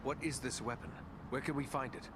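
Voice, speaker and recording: A man's voice: mid-range voice, fairly even intonation, a little fast, very noisy, lightly acted. A man acting a grave, earnest heroic ranger in a fantasy video game, questioning and commanding his companions. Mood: grave, urgent. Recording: loud music over dialogue.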